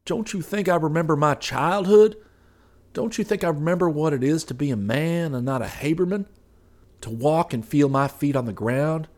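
A man's voice: on the high side, moderate pace, a little mellow, voice pushed up, close to an everyday voice. A man voicing a weary, sorrowful husband who pleads with obstinate sadness. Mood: bitter anguish. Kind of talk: audiobook character voice.

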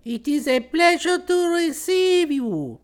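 A man's voice: extremely high pitch, very animated, slow pace, pitched above the performer's own voice, exaggerated voice. A man voicing a stout, effusive French landlady of a pension, speaking broken English with a French accent in a storybook reading. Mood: gushing, eager.

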